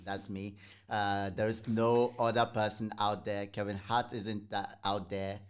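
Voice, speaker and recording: A man hosting a podcast interview, with a raspy voice, stumbling over words and joking. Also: on the low side, level tone. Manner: halting, repeats words, jokey. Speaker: podcast host. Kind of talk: casual interview chat.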